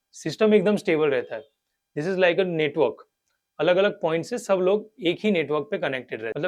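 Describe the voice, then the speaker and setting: An Indian man hosting a gear review, presenting features in a scripted way and mixing English phrases into his talk. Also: on the high side. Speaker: host of a motorcycle gear review channel. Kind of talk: scripted product review to camera.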